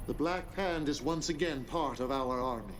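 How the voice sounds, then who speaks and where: A man playing a charismatic, menacing cult leader in a video game cutscene, speaking in deliberate, commanding oratory with theatrical pauses. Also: medium pitch, far from the mic, slight background noise, natural voice. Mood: measured, persuasive.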